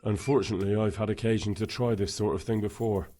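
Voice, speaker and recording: An actor playing an earnest, helpful young medieval villager in a video game, speaking plainly. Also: male voice, on the low side, flat delivery, quick pace, mellow, close to an everyday voice. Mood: earnest, concerned. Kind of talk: video game cutscene dialogue.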